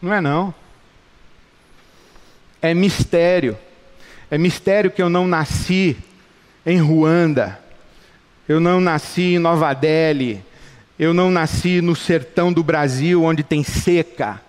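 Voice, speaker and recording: Brazilian pastor, middle-aged, fervent and repetitive, high-pitched, reverberant hall. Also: male voice, slow, pauses often, clean tone. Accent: Brazilian Portuguese. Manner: passionate, rhetorical repetition. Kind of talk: sermon.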